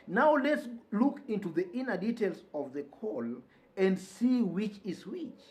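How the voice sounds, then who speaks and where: High-pitched, animated Kenyan male political commentator. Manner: emphatic, repeats key phrases for weight. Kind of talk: news commentary to camera or listeners.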